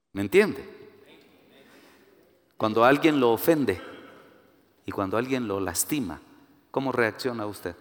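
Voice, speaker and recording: Guatemalan preacher guy, voice jumps all over, swings between loud and hushed, echoey church hall. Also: mid-range voice. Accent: Guatemalan Spanish. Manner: animated, rises to emphatic peaks, acts out quoted voices. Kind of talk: sermon with personal anecdotes.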